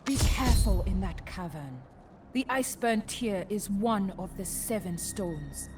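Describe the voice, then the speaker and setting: A woman voicing a devout, resolute warrior pilgrim in a fantasy game, with a measured, portentous and formal manner. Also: medium pitch, lively intonation, slight background noise, close to an everyday voice. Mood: ominous warning. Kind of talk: fantasy video game acting. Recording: loud music under the voice.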